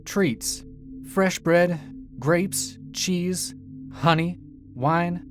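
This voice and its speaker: A man voicing a young girl in a dark fairy tale, earnest and cunning, speaking in careful phrases. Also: high-pitched, drawn-out words, pitched above the performer's own voice. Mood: careful innocence. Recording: music behind the voice.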